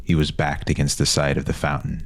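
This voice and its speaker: A male narrator with an exceptionally deep voice reads a fantasy tale. The delivery is scripted storytelling, captured very dry with no room sound. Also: little pitch movement, a little fast, creaky, no room sound.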